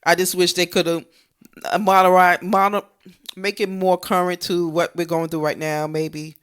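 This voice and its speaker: Smooth-voiced woman in casual review chat. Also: on the low side, little pitch movement, normal speed. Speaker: co-host of a movie review show.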